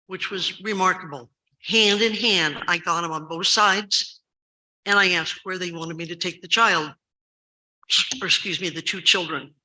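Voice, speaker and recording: A woman in her seventies giving an urgent public comment at a school board meeting. She tells a story emphatically, repeats key words for stress, and has a loud, carrying voice. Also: lowish voice, poor audio. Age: 70s.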